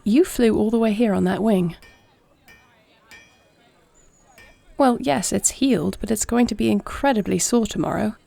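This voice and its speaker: A woman playing a battle-weary, caring warrior princess in an intimate audio roleplay, speaking softly and earnestly in a measured way. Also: mid-range voice, gravelly. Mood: caring, gently insistent.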